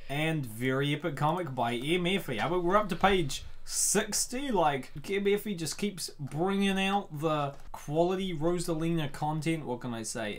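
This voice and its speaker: A host giving casual, jokey reaction commentary on a comic, with a playful, exclamatory manner. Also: mid-range voice.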